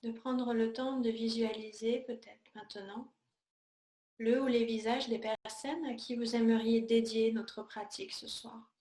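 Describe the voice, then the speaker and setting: A woman teaching yoga, guiding a meditation in a calm, soothing, unhurried way with pauses between short phrases. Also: fairly high pitch. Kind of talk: guided yoga and meditation instruction. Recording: echoey room sound.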